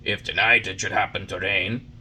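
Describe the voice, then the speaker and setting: A man voicing a stern, angry farmer who speaks loudly and roughly. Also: sits low, fairly even intonation, moderate pace, slight background noise. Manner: loud, rough, scolding. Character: gruff, stern, angry grown male farmer.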